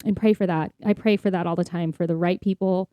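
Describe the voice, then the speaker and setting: A woman's voice: fairly low pitch, steady tone, fairly quick, warm tone, no echo. A woman co-hosting a casual faith podcast, talking in an earnest, encouraging way. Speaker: podcast co-host.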